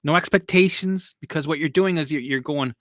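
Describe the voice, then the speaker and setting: A man talks to viewers on a casual live stream as a recovery coach. His voice sits a little high and sounds bright, and the sound is close and dry with no room echo. He rambles, repeats himself, swears casually and laughs at his own jokes. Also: a little fast.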